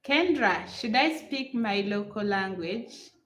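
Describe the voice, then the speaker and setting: A woman's voice: mid-range voice, lively intonation, slow, reverberant. A middle-aged Ugandan woman chatting live to her viewers from her room, repeating phrases and answering comments as she tells a parenting story. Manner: chatty, repeats herself, addresses viewers as guys. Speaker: vlogger. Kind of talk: live-stream storytelling with chat replies.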